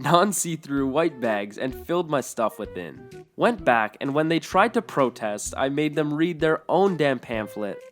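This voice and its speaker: A man narrating online stories aloud, amused and chuckling now and then. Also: medium pitch. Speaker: story narrator.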